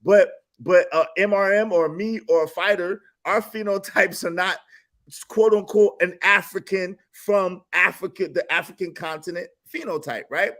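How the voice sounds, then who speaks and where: A man hosting an online panel discussion, making a measured but insistent argument with frequent restarts. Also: high voice, fairly even intonation, a bit bright. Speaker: panel host. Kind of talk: heated panel debate.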